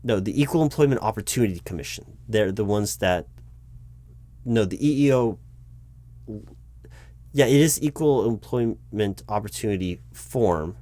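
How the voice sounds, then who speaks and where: An exasperated recruiting manager played by a man in an office roleplay, speaking in a fairly low voice. He explains things insistently and haltingly, often doubling back to repeat himself. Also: animated, mellow, dry recording, lightly acted. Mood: patiently explaining. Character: flustered, exasperated male human resources recruiting manager, lecturing his boss. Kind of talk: one-sided improvised audio roleplay, loud spoken.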